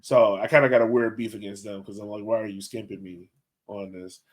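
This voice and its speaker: A man's voice: fairly low pitch, fairly even intonation, fairly quick. Laid-back man chatting on a podcast. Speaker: podcast co-host. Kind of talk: casual soccer podcast chat.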